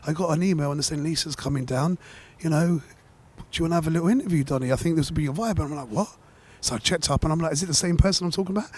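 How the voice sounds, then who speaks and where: A man's voice: on the high side, slightly rough. A male radio host with a slight lisp, chatting casually and upbeat in a studio interview. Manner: chatty, upbeat, quick-talking.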